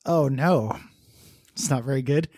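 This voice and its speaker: A man's voice: medium pitch, unhurried, darkish tone, slightly rough, no echo. A man co-hosting a podcast who rambles through pop-culture riffs and laughs often while chatting casually. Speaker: podcast co-host. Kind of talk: casual chat.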